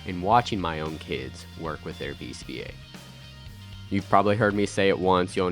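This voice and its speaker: A man in his thirties hosting a podcast, speaking with a raspy, nasal voice from a head cold, earnest with bursts of playful energy. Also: low voice. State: congested with a cold, stuffy and nasal. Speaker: podcast host. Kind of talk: scripted podcast monologue.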